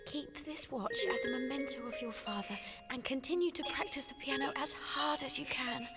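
A woman's voice: high voice, normal speed, natural voice. An actress playing an earnest, kind-hearted teenage schoolgirl heroine in a horror video game. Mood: gentle, sorrowful. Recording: loud music under the voice.

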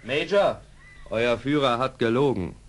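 An actor playing a grave, stoic Mohican warrior in a radio play, speaking in terse, broken phrases. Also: male voice, medium pitch, expressive, measured pace, natural voice. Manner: terse broken speech without articles. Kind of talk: German radio play acting.

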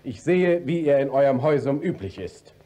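An actor playing a brisk, authoritative court inspector in a classic German radio comedy, formal and commanding. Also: male voice, medium pitch, lively intonation, warm tone, close to an everyday voice. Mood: composed, official.

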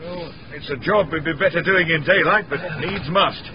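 An actor playing a methodical, courteous detective inspector with quiet authority in a radio drama. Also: male voice, fairly high pitch, normal speed, close to an everyday voice. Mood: brisk, measured. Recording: dull, noisy radio drama recording.

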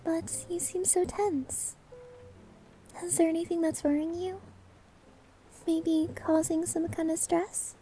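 Very high-pitched shy maid. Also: female voice, flat delivery, slow, pauses often, low-quality recording. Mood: caring. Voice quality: soft, high, girlish. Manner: hesitant, gentle, stammering. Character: shy, sweet young novice maid, flustered and caring. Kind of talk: anime-style ASMR roleplay acting. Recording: music behind the voice.

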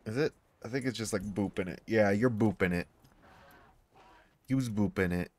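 Man, low-ish voice, slightly hoarse, losing his voice, rambling gameplay chatter. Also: normal speed, a little mellow. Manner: rambling, talks to himself and his creatures. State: losing his voice, sore throat. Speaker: gaming YouTuber. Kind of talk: casual gameplay commentary.

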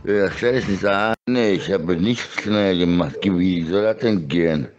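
A man's voice: low-pitched, normal speed. A middle-aged man rambling insistently and repetitively in an explicit, suggestive monologue, speaking close to a phone or webcam. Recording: dull lo-fi clips.

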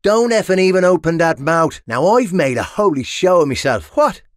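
An actor voicing a cocky, mocking Irish sellsword in a video game, with cheeky, punchy, colloquial delivery. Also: male voice, high voice, very animated, moderate pace, dry recording, studio quality. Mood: sheepish, brash. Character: brash, mercenary male sellsword, cocky and mocking. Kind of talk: video game battle voice lines.

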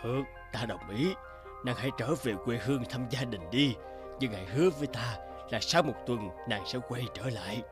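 A man voicing a large, gentle beast in a cartoon fairy tale, with a booming tone and courtly manner. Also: animated, moderate pace, over music, natural voice. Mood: gentle, solemn. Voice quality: booming, low gruff tone. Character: large gentle male beast, a cursed prince.

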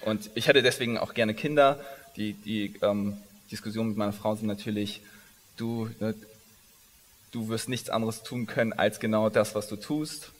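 A man's voice: on the low side, fairly even intonation, normal speed. A man in his thirties, born in Iran, speaking on stage in an interview, often stopping and restarting his sentences. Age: 30s. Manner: hesitant, many restarts and fillers. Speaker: company founder, interviewee. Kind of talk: onstage conversational interview. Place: large conference hall stage. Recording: long reverb of a big hall.

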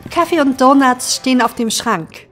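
A woman's voice: high-pitched, moderate pace, fairly bright tone, faint music behind. A woman playing a dry-humored, teasing small-town deputy with an ironic manner in an audio drama. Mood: amused, teasing.

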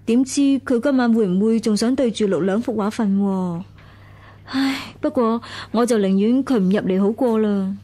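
A woman acts a young maidservant in a period radio drama. She is dutiful and tender-hearted, with a sorrowful air. The voice sits a little high and has a mellow, soft tone. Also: moderate pace, natural voice. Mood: sad, confiding.

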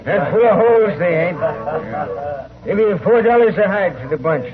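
A scornful, tight-fisted frontier hide buyer with a high, folksy voice. It comes over a tinny old radio western, with a loud crowd behind him. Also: male voice, lively intonation, normal speed. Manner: folksy, bullying. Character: hard-bargaining, contemptuous male buffalo hide buyer. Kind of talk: 1950s radio western acting.

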